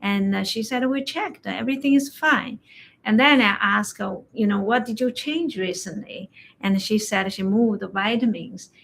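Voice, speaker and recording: Woman, first language Chinese, acupuncturist, halting casual talk, tells patient stories, middle pitch. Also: creaky, a bit bright. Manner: slow, halting, story-telling. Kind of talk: casual health chat. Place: livestream conversation.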